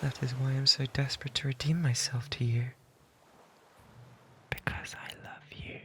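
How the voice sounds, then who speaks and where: A man's voice: medium pitch, level tone, normal speed, lightly acted. A British man playing an obsessive, lovesick pirate in a romantic ASMR roleplay, pleading fervently and breathily, close to a binaural microphone. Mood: tender, aching. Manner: fervent, breathy pleading that often drops toward whispers. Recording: noticeable background noise and ambience.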